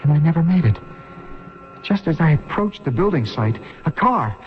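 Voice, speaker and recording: Agitated desperate man, swooping pitch, halting urgent delivery, radio drama, dull vintage recording. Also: very animated, faint music behind. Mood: desperate, scheming. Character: agitated engineer, desperate man revived in another body.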